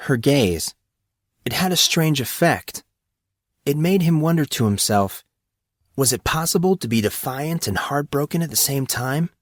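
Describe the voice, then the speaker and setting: A man's voice: medium pitch, no echo, clean studio sound. A man reading a story aloud as scripted audiobook narration.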